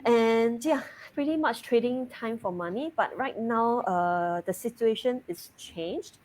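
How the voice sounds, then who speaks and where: A woman in her thirties from Singapore with a Singaporean English accent, a guest chatting openly in an interview over a video call, often breaking into soft laughs mid-sentence. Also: a little high, a little slow, slight background noise. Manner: laughs lightly mid-sentence, relaxed and open. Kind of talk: casual interview chat.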